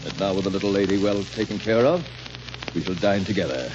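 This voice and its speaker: An actor in an old radio drama playing a controlling, courtly rich recluse with formal, condescending speech. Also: male voice, low voice, fast talker, natural voice. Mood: imperious, smug. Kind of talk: 1930s radio drama acting. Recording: noisy, dull 1930s radio recording.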